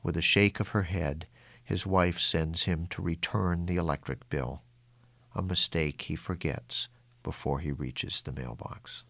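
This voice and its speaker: Deep, slow male story reader. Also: fairly even intonation, gravelly, fairly bright tone. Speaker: author reading own short story. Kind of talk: literary story narration.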